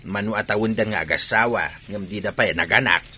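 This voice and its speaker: A man's voice: fairly low pitch, fairly quick, sharp tone, a little hiss or hum. A man with an Ilocano accent acting an irritable, gossipy scold in a radio drama. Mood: annoyed, griping.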